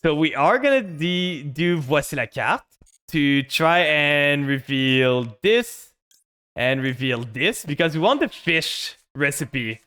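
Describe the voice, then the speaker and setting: Bright-voiced French-Canadian man, unhurried. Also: on the high side, lively intonation, clear, resonant tone. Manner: thinks aloud, narrating each play to chat. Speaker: streamer. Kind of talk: casual game stream commentary.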